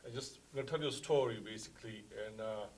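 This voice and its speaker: A man from Afghanistan, a parent, giving earnest, halting public comment at a school board meeting. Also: on the low side, darkish tone. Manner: halting, earnest, many restarts.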